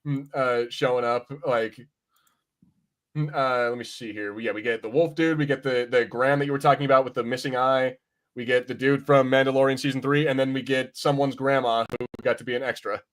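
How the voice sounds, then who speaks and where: A man's voice: mid-range voice, fairly even intonation, brisk, a bit bright. A man hosting a casual review podcast, rambling informally and swearing freely as he chats.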